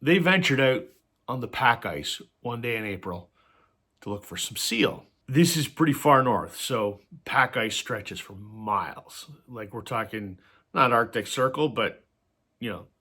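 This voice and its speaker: A man with a bright, forceful voice talks casually to camera about his record collection. He is slightly hoarse from a cold and fills his stories with ums and uhs. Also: mid-range voice, lively intonation, unhurried. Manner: conversational storytelling with frequent fillers. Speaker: host of a vinyl record channel.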